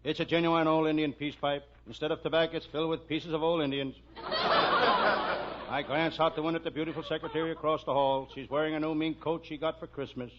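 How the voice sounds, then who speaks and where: Parody radio detective: man acting deadpan American private eye, hard-boiled narration, muffled 1940s sound. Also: on the high side, fairly quick, background noise, natural voice.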